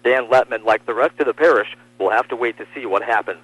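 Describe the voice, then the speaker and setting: A man's voice: medium pitch, fast, a bit bright, husky, dry recording, low-quality recording. An American man reading scripted radio news as a reporter and anchor.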